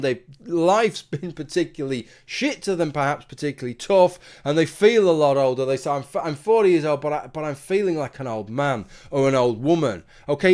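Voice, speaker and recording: A man delivering a conversational opinion monologue, arguing his point with rhetorical asides. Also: a little high. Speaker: commentary video host.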